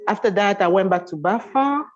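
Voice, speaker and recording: A woman from Cameroon on a video call, telling her story in a halting way with many restarts. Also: mid-range voice, lively intonation. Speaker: guest. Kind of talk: casual chat. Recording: dull lo-fi call audio.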